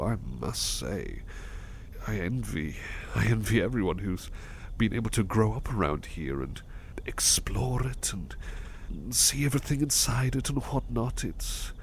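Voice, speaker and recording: A man playing a devoted, polite butler in a soft-spoken ASMR roleplay, speaking gently and hesitantly with courteous stammers. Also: lowish voice, a little hiss or hum. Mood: warm, earnest. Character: devoted, polite, history-loving male butler companion.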